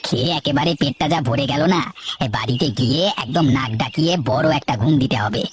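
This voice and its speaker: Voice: medium pitch, quick pace, music in the background, close to an everyday voice. A voice actor playing a friendly, food-loving village man in a cartoon. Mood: cheerful. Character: friendly male villager who loves food. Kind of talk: cartoon voice acting.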